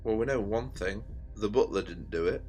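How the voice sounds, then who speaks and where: A man playing a young travelling companion with a teasing, impatient manner and quick questions. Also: lowish voice, darkish tone, soft background music, natural voice. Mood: curious. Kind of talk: fan audio drama acting.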